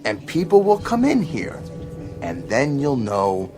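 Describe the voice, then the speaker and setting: A gruff male depot clerk in a radio drama. He talks in curt, sardonic bursts of impatience, his voice animated and mid-pitched. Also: takes it slowly, soft background music. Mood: irritated, sarcastic.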